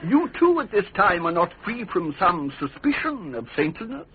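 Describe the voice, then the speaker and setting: A high, husky, oily ambassador. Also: male voice, big pitch swings, moderate pace, full character voice. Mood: insinuating, flattering. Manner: formal, ingratiating, elaborately courteous. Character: oily, scheming foreign ambassador, smoothly flattering courtier. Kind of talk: radio drama acting. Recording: thin, phone-like lo-fi radio recording.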